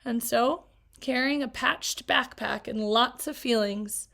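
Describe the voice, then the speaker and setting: A woman, a teacher, reading a picture book aloud to children, expressive and chatty, with asides to her young listeners. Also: on the high side, slow pace, creaky.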